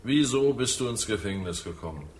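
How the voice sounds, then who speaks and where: An actor as a very deep, laconic father. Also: animated, a little fast, natural voice. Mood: terse, curious. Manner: laconic, musing. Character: dead father of forty-one, nostalgic, laconic. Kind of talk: German radio drama acting.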